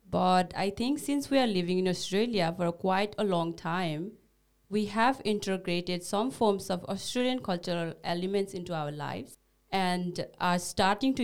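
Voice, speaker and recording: Brief: young woman, Nepali first language, earnest scripted reading, low and crisp. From Bhutanese refugee, grew up in Nepal. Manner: earnest, carefully read delivery. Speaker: social worker, podcast co-host. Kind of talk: scripted conversation.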